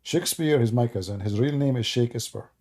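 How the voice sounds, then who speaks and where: A man's voice: medium pitch, brisk, a little mellow. A man from the Middle East, a native Arabic speaker, hosting a casual live stream in broken English, sarcastic and joking as he answers his viewers. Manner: sarcastic and joking, mocks the chat.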